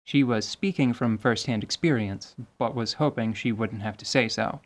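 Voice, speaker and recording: A woman's voice: very deep voice, normal speed. A woman acting as narrator and game master, reading scripted fantasy narration.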